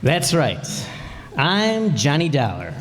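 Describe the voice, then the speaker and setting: An actor playing a businesslike insurance investigator in old-time radio detective style, matter-of-fact, clipped and inquisitive. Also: male voice, on the high side, wildly swooping pitch, slow pace, heavily acted. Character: brisk, businesslike male insurance investigator narrating his case. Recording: roomy hall with long reverb.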